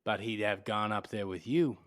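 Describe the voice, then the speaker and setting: An actor playing a tough, cynical private detective in 1940s radio drama style, blunt and clipped. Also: male voice, fairly low pitch, moderate pace, a bit bright, close to an everyday voice. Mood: cold, accusing. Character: hard-boiled cynical male private detective, tough and unsentimental.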